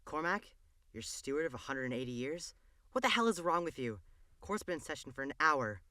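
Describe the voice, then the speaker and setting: Sardonic castle steward male actor, audio drama. Forceful, scolding, long-suffering, recorded dry. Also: a little high, sing-song, a little fast, dry recording. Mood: exasperated. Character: fussy, exasperated long-serving male royal steward.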